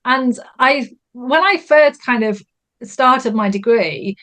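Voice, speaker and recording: A woman's voice: fairly high pitch, slow pace, fairly bright tone. A woman, chatting as an English teacher and guest, enthusiastic and full of "you know" fillers. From Yorkshire, England. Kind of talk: casual conversation.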